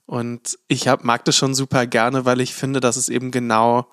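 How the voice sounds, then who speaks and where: A man's voice: mid-range voice, little pitch movement, moderate pace, a bit bright, dry recording, clean studio sound. A man co-hosting a podcast, chatting casually in a rambling way with many hedging words. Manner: rambling, many fillers and hedges. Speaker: podcast co-host. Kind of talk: casual chat.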